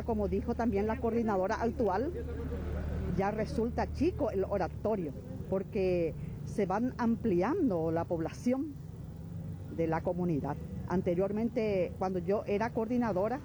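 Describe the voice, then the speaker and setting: A woman, a former chapel coordinator, explaining in a measured way during a street interview. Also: medium pitch, background noise.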